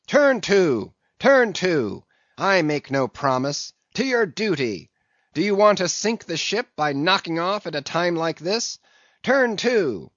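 A man's voice: fairly low pitch, very animated, slow pace, gravelly, few pauses, husky, dry recording, exaggerated voice. A man voicing a furious, bullying middle-aged ship captain who barks orders. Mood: bellowing orders. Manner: barking orders, shouting. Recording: dull, dry lo-fi recording.

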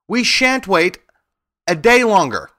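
A man's voice: medium pitch, big pitch swings, normal speed, sharp tone, dry recording, clean studio sound. A man voicing a plaintive, indignant living scarecrow in a storybook, simple and easily moved to tears. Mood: insistent.

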